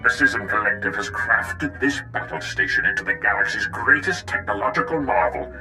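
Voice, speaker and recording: An actor playing a boastful, self-serving and cowardly enemy station technician in a video game, speaking in a theatrical, pompous way. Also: male voice, medium pitch, moderate pace, music in the background, lightly acted, rough recording. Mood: smug boasting.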